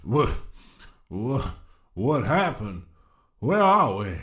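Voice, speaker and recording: An actor playing an irate, vengeful captive schemer in an audio drama, with a theatrical, indignant delivery. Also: male voice, mid-range voice, very animated, very slow, fairly bright tone, exaggerated voice. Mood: bewildered. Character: irate, vengeful schemer, a double-crossed man held captive.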